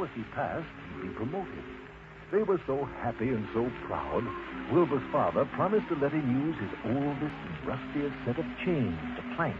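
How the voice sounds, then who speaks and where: A man narrating a scripted children's story in an expressive, dramatic way. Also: mid-range voice. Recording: muffled lo-fi audio with clear noise.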